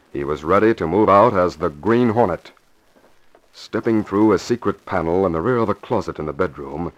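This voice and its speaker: Low-voiced vintage radio drama narrator, male. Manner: dramatic, formal. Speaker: announcer, narrator. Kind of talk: 1940s radio scripted narration. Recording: muffled, noisy vintage radio recording.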